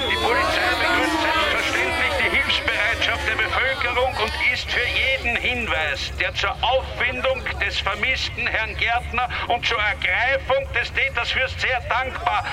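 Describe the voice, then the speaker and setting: Radio drama: beleaguered policeman, high strained voice, formal appeals, loud crowd behind. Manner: formal, officious, urgent. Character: beleaguered male police inspector appealing officiously to villagers. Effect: megaphone.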